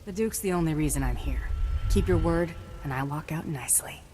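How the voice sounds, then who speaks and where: An actress playing a tough, blunt bounty hunter in a video game, curt and threatening. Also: female voice, low voice, lively intonation, fairly quick, warm tone, slight background noise. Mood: curt, businesslike.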